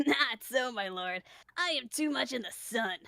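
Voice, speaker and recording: A woman voicing a brooding young prince in a comic Shakespeare read-aloud, with drawn-out delivery. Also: fairly high pitch, lively intonation, vocal fry, pushed and bright, dry recording, full character voice. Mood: brooding, wry. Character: brooding young Danish prince Hamlet, played for laughs.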